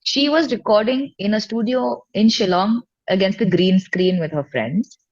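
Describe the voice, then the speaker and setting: Female interview guest, mid-pitched, informal and candid, slightly lo-fi recording. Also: normal speed, a little mellow. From India. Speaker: singer-songwriter guest. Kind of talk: casual chat in an interview. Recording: dull lo-fi audio.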